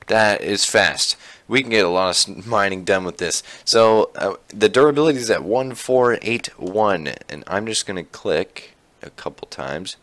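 A young man with a slightly raspy voice, chatting casually through his Minecraft survival gameplay with plenty of fillers. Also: fairly low pitch, fairly bright tone, no room sound. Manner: chatty, rambling, many fillers. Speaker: Minecraft YouTuber.

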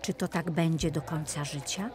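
A woman's voice: deep voice, steady tone, moderate pace, darkish tone, faint music behind. A woman narrating a children's story expressively as the storyteller.

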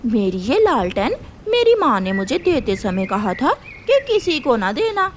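A woman's voice: on the high side, very animated, measured pace, slight background noise. A woman voicing a selfish, grumpy female crow in a cartoon, dismissive and indignant.

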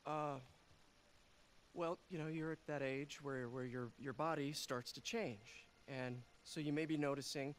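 A man's voice: a little high, fairly even intonation, measured pace, slight background noise, lightly acted. A man playing an awkward, well-meaning young man in a video game, hesitant and stammering. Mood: flustered. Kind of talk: naturalistic video game acting.

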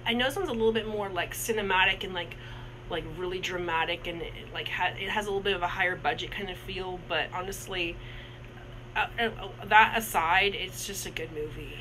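A man's voice: high voice, a little fast, background noise. A man in his twenties co-hosting a casual movie review, talking in a rambling way with many restarts and fillers. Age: 20s.

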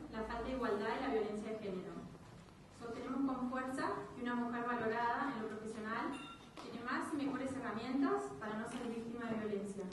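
A woman's voice: a little high, distant mic, background noise. A young woman from Argentina reading a formal welcome speech at a podium microphone in a large reception hall. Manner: reads formally, with a few restarts. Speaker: event speaker. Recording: echoey hall, recorded from afar.

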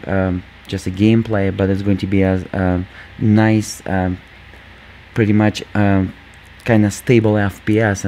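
A man hosting a gaming channel, talking casually over gameplay with a squeaky voice, lots of fillers, and calling viewers "guys". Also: mellow, slight background noise. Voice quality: squeaky, just-woken voice. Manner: rambling, many fillers, addresses viewers as guys.

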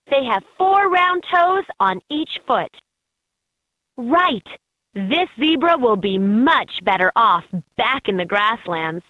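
A woman reading scripted educational narration for a children's game in an expressive, instructive way. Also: fairly high pitch, no room sound. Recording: slightly dull, lo-fi game audio.

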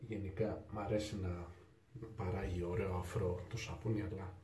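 A man's voice: low voice, crowd noise. A young man from Greece chatting unhurriedly while he shaves in a small tiled bathroom, recorded by a camera in front of him. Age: young adult. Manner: relaxed, unhurried, with pauses and sighs while shaving. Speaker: shaving vlogger. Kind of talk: casual talk while shaving. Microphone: camera in front at arm's length. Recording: echoey tiled bathroom, faint hiss.

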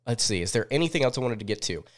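A man in his twenties hosts a live sports recap show, speaking informally and often thinking aloud. His voice sits at a medium pitch and sounds sniffly from a cold. He is close to a podcast microphone in a small studio. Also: lively intonation, racing. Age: 20s. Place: small indoor home studio. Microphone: close desk podcast microphone.

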